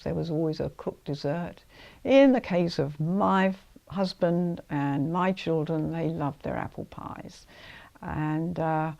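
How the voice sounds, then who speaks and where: A woman's voice: low-pitched, gravelly, mellow, dull audio. A middle-aged woman with an English accent. She talks fondly in an interview and reminisces. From London. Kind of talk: casual interview.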